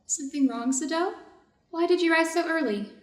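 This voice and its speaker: A woman's voice: very high voice, normal speed. A woman playing an anxious, devoted Japanese doctor's wife in a staged reader's theater drama, concerned and questioning. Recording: roomy, echoing space.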